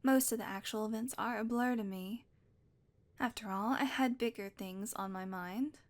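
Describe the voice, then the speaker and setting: A woman's voice: fairly high pitch, normal speed, lightly acted. A young woman voicing an obsessive, possessive witch in an audio roleplay, talking sweetly and coaxingly and often dropping to a whisper. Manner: sweet, coaxing, softly controlling, slips into whispers.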